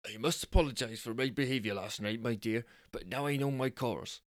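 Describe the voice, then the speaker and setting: A man's voice: medium pitch, fairly quick, no echo, studio quality, lightly acted. A man playing an earnest, deluded would-be superhero boyfriend who makes solemn, self-important declarations. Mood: solemn, righteous.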